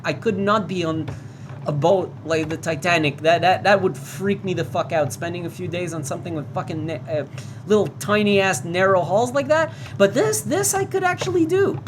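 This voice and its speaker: A woman's voice: medium pitch, noisy background. A woman giving casual, profane commentary while playing a VR demo, often reading in-game signs aloud. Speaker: VR game reviewer.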